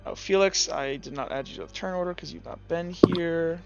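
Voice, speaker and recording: Male game master, notably high voice, improvised narration and rules chat, slightly muffled with faint music behind. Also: fairly quick, creaky, darkish tone. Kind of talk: improvised tabletop game narration and table talk.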